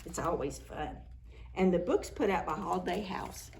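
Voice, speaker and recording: A woman reading picture books aloud to preschoolers, with expressive, deliberate, sing-song storytelling. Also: on the low side, vocal fry, slight background noise. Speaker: children's library storytime reader. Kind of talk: read-aloud picture book narration for preschoolers.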